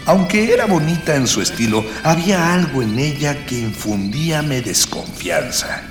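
An actor playing a grandiloquent spirit companion who narrates a radio serial in old-fashioned literary language. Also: male voice, a little high, lively intonation, moderate pace, lightly acted. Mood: descriptive, wary. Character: grandiloquent male unseen spirit companion of the hero. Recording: echoey long reverb over music.

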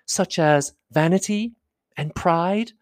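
A man's voice: high-pitched, slow, no room sound, clean studio sound, pitched above the performer's own voice. A man doing a witty, teasing young woman. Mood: pointed.

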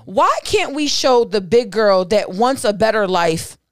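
A woman on a casual podcast chat, talking candidly and profanely in animated stories. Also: mid-range voice, a little slow, dry recording, clean studio sound. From Puerto Rican family.

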